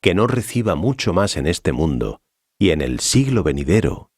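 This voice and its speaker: An actor playing a solemn, authoritative male teacher in a dramatized scripture reading, speaking in a measured, didactic way. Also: deep voice, big pitch swings, a little mellow, slightly rough, dry recording, studio quality, full character voice. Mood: solemn authority.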